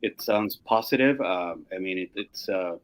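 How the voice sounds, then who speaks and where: Low-voiced man, halting delivery. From Chile. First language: Spanish. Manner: halting, frequent "you know". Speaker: music curator. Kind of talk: casual panel discussion.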